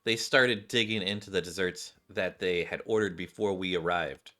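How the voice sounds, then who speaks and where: A man's voice: low-pitched, normal speed, bright, forceful tone. A man, a gaming streamer, reading a video game's story aloud. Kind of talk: reading game dialogue and narration aloud.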